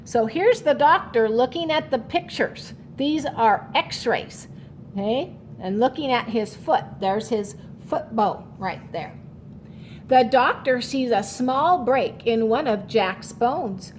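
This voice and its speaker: A woman's voice: a little high, animated, takes it slowly, slight background noise. A woman reading a children's storybook aloud like a teacher, adding short explanatory asides. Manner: instructive, checks understanding with short asides.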